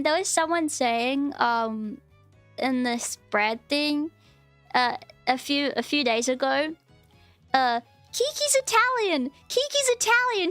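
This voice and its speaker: High-voiced woman rambling on stream. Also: fairly bright tone, dry recording. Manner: rambling, filler-heavy, chatty. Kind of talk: casual live-stream chat.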